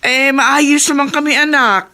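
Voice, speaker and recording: An actress playing a caring, gently worried older mother with a tender manner, in a Tagalog radio drama. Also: high voice, steady tone, a little slow. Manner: tender, concerned.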